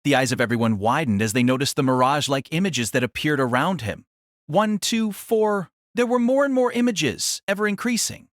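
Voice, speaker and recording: A man's voice: fairly high pitch, lively intonation, brisk, no echo, clean studio sound. A man narrating a fantasy story in a dramatic, theatrical, scripted style.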